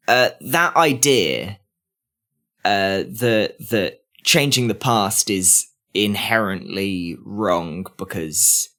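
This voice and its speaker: This man is a podcast co-host with a very animated, up-and-down delivery. His pitch sits in the middle, and he argues emphatically in stop-start phrases. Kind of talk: podcast discussion.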